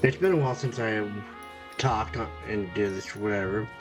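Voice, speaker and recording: A man's voice: fairly low pitch, moderate pace, music in the background. A man hosting a casual gaming commentary at home, rambling and correcting himself, his nose stuffed up. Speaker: gaming channel host. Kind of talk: casual let's-play commentary. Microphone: desktop recording setup.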